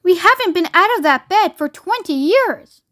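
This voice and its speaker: A woman's voice: extremely high pitch, expressive, normal speed, a bit bright, no room sound, studio quality, voice pushed up. A woman voicing an agitated, outspoken old grandmother in a children's storybook, protesting in screechy emphatic tones. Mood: exasperated. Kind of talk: children's storybook read aloud.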